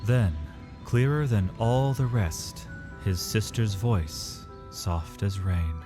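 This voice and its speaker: A man's voice: on the low side, slow, over music. A man narrating a fantasy audio drama from a script in a solemn, intense storytelling manner.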